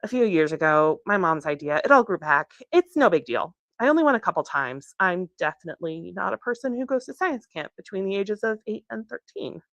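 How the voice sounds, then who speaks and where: A woman's voice: mid-range voice, sing-song, fairly quick, few pauses, a bit bright, dry recording, lo-fi sound. A woman reading a novel aloud, voicing an awkward, eager teenage girl who rambles self-consciously. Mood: embarrassed rambling. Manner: flustered, rambling, self-deprecating. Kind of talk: acted dialogue in a novel reading.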